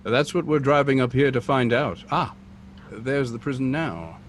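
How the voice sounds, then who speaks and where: An actor playing a composed, refined gentleman sleuth in an old-time radio drama, speaking formally. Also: medium pitch, normal speed, natural voice. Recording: noisy vehicle ambience behind.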